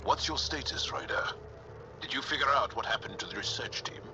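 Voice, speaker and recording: An actor playing a confident, diplomatic alien companion in a video game, direct and expressive. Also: male voice, mid-range voice, brisk, background noise, natural voice. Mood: approving. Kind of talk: cinematic video game acting. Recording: dull game audio under clear music.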